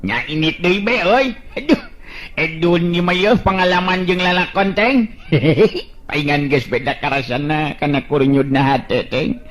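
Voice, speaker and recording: A man's voice: on the high side, unhurried, fairly bright tone. A man with a Sundanese accent telling a folk tale and voicing its hero, chuckling often between lines. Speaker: folk storyteller.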